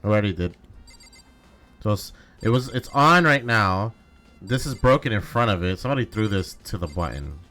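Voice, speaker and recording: Animated man streaming a game. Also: on the low side, normal speed. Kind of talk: casual playthrough chat. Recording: music behind the voice.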